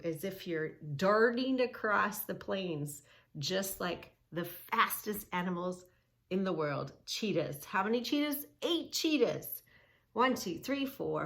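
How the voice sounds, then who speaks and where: A woman hosting a children's yoga read-along, teaching in an emphatic, sing-song way with drawn-out key words and questions. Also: medium pitch, a bit bright. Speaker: children's yoga story-time host. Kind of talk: read-along lesson for young children, narrating and instructing.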